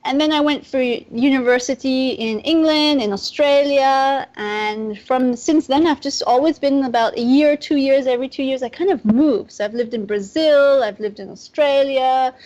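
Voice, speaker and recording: A woman's voice: sits high, darkish tone, lo-fi sound. A young woman from Nepal and Southeast Asia chatting as a podcast guest, explaining things in a rambling, conversational way. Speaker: interview guest, entrepreneur.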